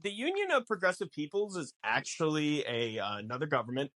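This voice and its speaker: A man's voice: a little high, very animated, a little fast, sharp tone, dry recording, studio quality. A man guesting on a podcast, explaining film lore casually with theatrical, mock-exasperated banter.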